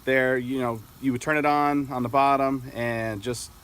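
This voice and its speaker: A man's voice: mid-range voice, steady tone, moderate pace, fairly bright tone, slight background noise. American guy in his 40s doing a casual gear demo outside, kinda hesitant, explains stuff as he goes. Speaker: product reviewer. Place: outdoors in an open grassy yard with trees.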